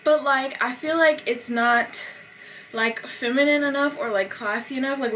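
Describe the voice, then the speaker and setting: A woman's voice: on the high side, fairly bright tone, a little hiss or hum, rough recording. A young American woman chatting casually and informally to her vlog audience, stretching out words for emphasis. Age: young adult. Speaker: lifestyle vlogger.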